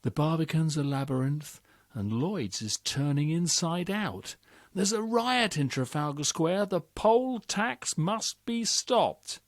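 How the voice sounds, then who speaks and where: Male poetry reader, unhurried, measured, dry close-miked read. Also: fairly high pitch, animated, dry recording. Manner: measured, deliberate delivery. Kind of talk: slow dramatic poetry reading.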